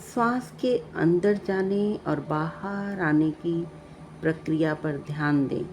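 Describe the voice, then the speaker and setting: A woman narrating a guided meditation, giving calm, measured instructions with long pauses. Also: lowish voice, a little hiss or hum. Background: background music.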